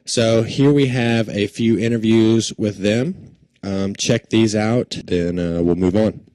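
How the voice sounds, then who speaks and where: A man's voice: lowish voice, slow, mellow, no room sound. A man hosting an episode, introducing it in a casual, conversational way. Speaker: show host.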